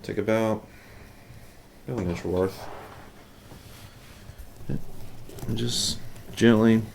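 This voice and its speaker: A man giving a casual, unscripted how-to demonstration, talking haltingly and unhurriedly as he works. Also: lowish voice, steady tone, vocal fry, darkish tone, slight background noise. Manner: unhurried, halting, thinking aloud while working. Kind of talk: casual unscripted hands-on tutorial.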